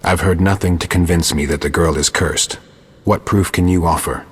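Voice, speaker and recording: A man playing a stoic monster hunter in a video game, terse and deadpan, with a gravelly, husky voice. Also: sits low, normal speed. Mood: guarded, skeptical. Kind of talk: scripted video game dialogue. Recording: long cavernous reverb.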